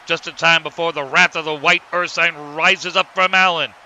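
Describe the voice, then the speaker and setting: A man's voice: a little high, steady tone, moderate pace, pushed and bright, slight background noise. A man playing a play-by-play commentator narrating a cartoon wrestling match.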